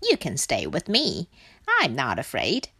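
A woman voicing a kindly, sensible duck in a children's storybook, calm and matter-of-fact. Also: lowish voice, measured pace, exaggerated voice. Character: kindly sensible duck, reassuring host to friends.

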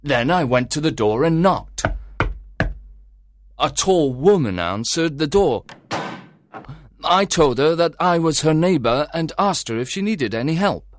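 Actor as a worried husband, very animated storyteller. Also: male voice, a little high, a little slow, long pauses, a little hiss or hum. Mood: uneasy, recounting. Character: worried, suspicious husband recounting his troubling story to a detective. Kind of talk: dramatised audiobook narration.

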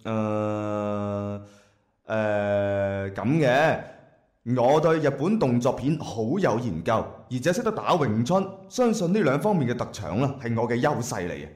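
An actor playing a brash, clueless young jobless graduate in a comic radio drama, with a Guangzhou Cantonese accent and a cheeky, rambling, hesitant manner. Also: male voice, medium pitch, animated, unhurried, a little mellow, lightly acted. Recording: echoey room.

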